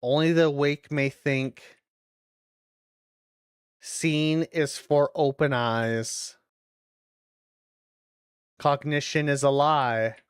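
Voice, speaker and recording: Streamer, middling pitch, unhurried, casual reaction commentary, deliberate read-aloud phrases, muttered asides, dry close sound. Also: smooth, dry recording. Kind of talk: casual watch-along commentary mixed with reading on-screen text aloud.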